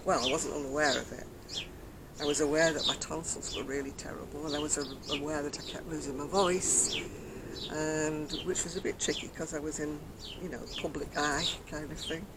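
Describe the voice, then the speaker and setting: An older woman talks casually to her viewers in a very soft, quiet voice that she cannot raise, rambling and gently poking fun at herself. She is outdoors on a decking with a camera close in front of her. Loud outdoor noise runs behind her voice the whole time. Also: deep voice. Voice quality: very soft, quiet voice after throat surgery. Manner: chatty, rambling, self-mocking, laughs softly. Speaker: craft vlogger. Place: outdoors on a decking by a brick wall. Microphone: camera in front at close range.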